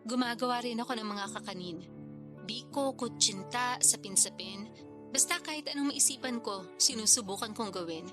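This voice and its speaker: A woman acting as a modest, talkative single mom in her thirties in a radio romance. Her voice is fairly high. Music is clearly audible underneath. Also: normal speed, close to an everyday voice. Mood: modest, chatty. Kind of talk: radio drama dialogue. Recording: clear music bed behind dialogue.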